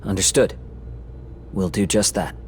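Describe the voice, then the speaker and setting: An actor playing a composed, analytical young man who talks in a calm, reasoning way in a game cutscene. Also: sits low, measured pace, a little hiss or hum.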